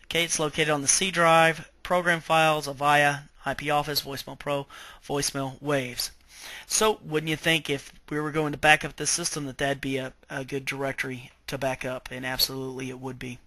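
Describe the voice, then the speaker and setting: Male tech trainer, slightly high bright voice, dry close sound, informal step-by-step screen walkthrough. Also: little pitch movement, moderate pace, dry recording. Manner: step-by-step explaining, checking in with okay. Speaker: IT trainer presenting software tutorial.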